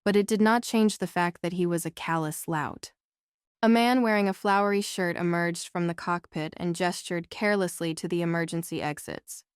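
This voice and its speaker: A woman's voice: fairly low pitch, moderate pace, clean tone, dry recording, clean studio sound. A woman reading scripted first-person novel narration as an audiobook narrator.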